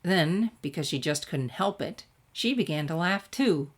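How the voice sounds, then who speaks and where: A woman narrates a story from a script. Her voice sits a little low, and she reads expressively as a storyteller. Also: expressive, unhurried. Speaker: fan fiction reader.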